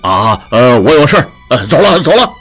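A man voicing a proud, fussy old father-in-law who talks loud and huffy. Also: medium pitch, big pitch swings, brisk, soft background music. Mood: flustered.

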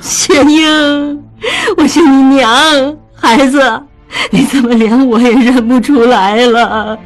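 A distraught matron of an old gentry house, tearful and pleading, in a very high voice, as a male narrator puts her on. She cries through her words in a storybook telling. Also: fairly even intonation, slow, faint music behind. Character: anguished middle-aged mother of a gentry household.

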